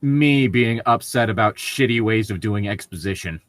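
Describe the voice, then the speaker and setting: A man's voice: fairly low pitch, moderate pace, no echo. A young man co-hosting in casual banter, wry and sarcastic, with exaggerated reactions and riffs. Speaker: co-host commenting on fan fiction.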